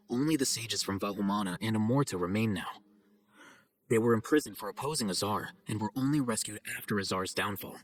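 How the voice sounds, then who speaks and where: A man's voice: fairly low pitch, close to an everyday voice. A man as a cool, sardonic young scholar. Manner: composed, deadpan, condescending. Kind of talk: video game voice acting.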